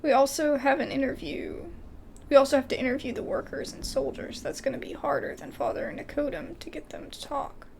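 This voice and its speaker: A woman's voice: sits high, fairly even intonation, fairly quick, creaky, a little hiss or hum. A woman doing casual video game commentary and reading dialogue aloud, with informal, exclamatory asides.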